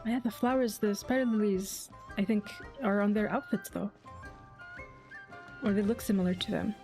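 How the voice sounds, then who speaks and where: A woman with a mid-pitched voice comments casually on a game playthrough. She reads aloud and reacts in a conversational way, with soft music underneath. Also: steady tone, normal speed. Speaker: game streamer.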